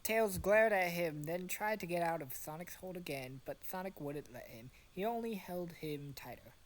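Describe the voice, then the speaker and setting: A woman's voice: fairly low pitch, lively intonation, normal speed, rough recording. A woman narrating a story informally for friends, as an amateur reader with exasperated asides. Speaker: narrator of a fan fiction reading.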